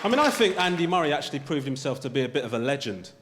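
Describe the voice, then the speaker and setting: A man's voice: mid-range voice, big pitch swings, racing, in a large hall, a crowd in the background, noisy background. A male stand-up comedian doing a conversational routine on a TV studio stage in front of a live audience, often tagging his lines with "right?".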